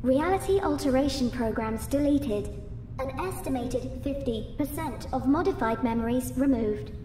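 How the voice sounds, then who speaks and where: Lady doing an emotionless computer ai, high soft-toned voice, big echoey reverb, radio play. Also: normal speed, slight background noise. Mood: impersonal, clinical. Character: clinical, emotionless female medical AI. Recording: echoing long reverb, faint music.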